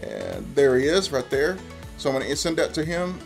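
A man's voice: mid-range voice, huge pitch swings, normal speed, creaky, faint music behind. A man in his thirties presenting a how-to tutorial in a conversational, step-by-step way. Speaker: tech presenter. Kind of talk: casual how-to tutorial narration.

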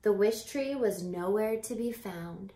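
Slow, expressive woman reading a storybook. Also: mid-range voice. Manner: animated, deliberate, drawn-out phrasing with hushed whispers. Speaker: teacher reading a picture book aloud. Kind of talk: expressive children's storybook read-aloud with asides to young listeners. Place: small home room by a window. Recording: roomy echo from home camera mic.

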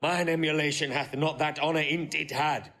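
Fierce beaten general, higher-pitched male voice, bitter temper, classical stage declamation in an audio play. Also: fairly even intonation, normal speed, a bit bright. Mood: bitter, defiant. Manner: declamatory, vehement. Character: fierce, vengeful defeated male warrior general. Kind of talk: classical Shakespearean stage acting.

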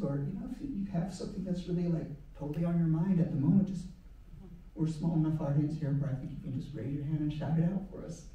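A man's voice: on the high side, recorded from a distance, muffled recording. A man moderating an art panel on a museum stage, speaking casually with many ums into a handheld mic. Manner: relaxed, many ums and uhs. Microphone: handheld mic, far camera. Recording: echoey hall, heard from afar.

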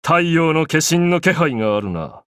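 An actor playing an arrogant, grandiose young pharaoh in an anime game, declaiming in an imperious, pompous way. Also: male voice, a little high, big pitch swings, unhurried, a bit bright, clean tone, dry recording, clean studio sound. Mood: proud, commanding.

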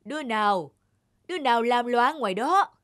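A man's voice: very high voice, lively intonation, normal speed. A man voicing a pompous old Jade Emperor in a folk-tale cartoon, imperious and declamatory. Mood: outraged. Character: pompous old male Jade Emperor, stern but remorseful. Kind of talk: animated folk-tale dialogue. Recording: loud music underneath.

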